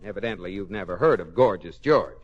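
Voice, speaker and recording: An exasperated but teasing suburban husband. His voice sits fairly low and swings freely in pitch, on a muffled vintage sitcom broadcast. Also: lively intonation, a little fast. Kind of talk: 1940s radio sitcom acting.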